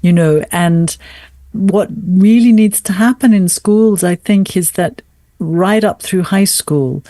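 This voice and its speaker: A woman's voice: on the low side, slow, dark tone, dry recording. A middle-aged woman speaking earnestly and articulately as an interview guest, with emphatic phrasing. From raised in South Asia, educated in Australia. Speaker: podcast guest, author and former English teacher. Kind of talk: conversational interview.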